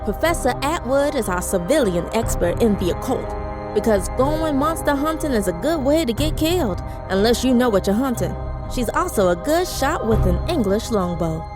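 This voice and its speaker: An actress playing a commanding, wry 1940s spy who narrates an audio drama with confident, dramatic delivery. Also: female voice, fairly high pitch, expressive, normal speed, close to an everyday voice. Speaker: narrator and lead character. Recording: music under the voice.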